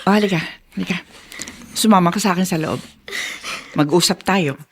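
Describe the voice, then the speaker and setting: A woman playing a kindly, trusting mayor's wife in a Tagalog radio drama, gentle but assertive. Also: fairly low pitch, fairly quick. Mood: kindly insistent.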